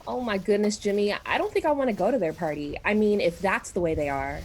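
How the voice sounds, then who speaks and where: A woman's voice: medium pitch, lively intonation, fast talker, a little mellow, lightly acted. A woman playing an anxious, reluctant young wife in a radio drama, speaking in a fretful, pleading way. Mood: worried.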